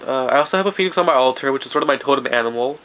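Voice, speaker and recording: A man's voice: medium pitch, very fast, clear, resonant tone. A young man with a stuffed-up nose, talking loosely in a vlog and often restarting his sentences. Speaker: vlogger. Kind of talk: casual vlog monologue. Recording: dull lo-fi home video with faint hiss.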